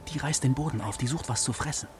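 A man's voice: mid-range voice, fast, heavy background noise, lightly acted. A man playing a patient, matter-of-fact forester father in a German children's radio play, explaining things plainly.

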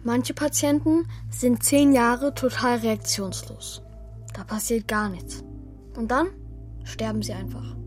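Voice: mid-range voice, fairly even intonation, slow pace, soft background music, close to an everyday voice. A performer voicing a young boy in a children's radio play, thoughtful and hesitant, worried about his brother, both acting and narrating. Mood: matter-of-fact.

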